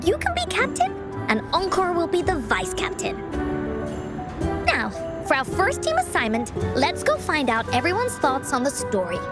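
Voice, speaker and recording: A woman voicing a cheerful, excitable little girl adventurer in a video game, playful and bubbly. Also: very high-pitched, full character voice. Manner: refers to self in third person, bubbly. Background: music.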